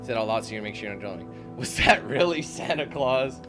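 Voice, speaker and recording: A man doing casual let's-play commentary, thinking out loud, talking to his viewers and reading the game text aloud. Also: medium pitch, expressive, brisk. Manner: chatty, thinks out loud, talks to viewers as squad. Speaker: gaming YouTuber. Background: game music.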